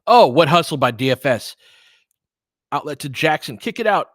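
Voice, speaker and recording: A man's voice: a little high, animated, moderate pace, no echo, clean studio sound. A man giving live basketball play-by-play and casual analysis as a candid, colloquial fan commentator who reacts openly and swears.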